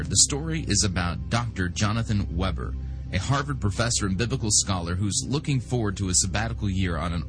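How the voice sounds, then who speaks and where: Low-voiced American man reading a promo. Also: low-quality recording. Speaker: advertisement announcer. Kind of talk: scripted promotional narration. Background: guitar music.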